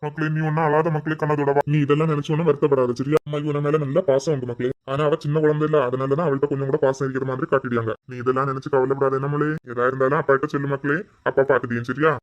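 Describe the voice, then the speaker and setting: Someone doing a kind dad voice, mid pitch, talks super fast, style. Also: highs cut off, lightly acted. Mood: soothing. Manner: soothing, reasoning, rapid. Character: gentle caring father, adult man.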